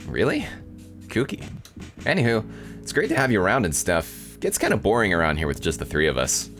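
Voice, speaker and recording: A man's voice: on the low side, huge pitch swings, brisk, fairly bright tone, soft background music, full character voice. A man voicing a laid-back tomboy shark girl in a visual novel, with breezy, slangy talk. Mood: amused. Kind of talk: visual novel character dialogue.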